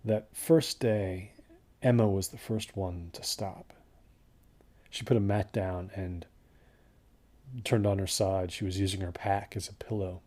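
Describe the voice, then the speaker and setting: A man's voice: lowish voice, warm tone. A man playing an uneasy hiker who recounts a bad desert trip in a conversational, reflective way for an audio drama. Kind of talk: first-person audio drama monologue.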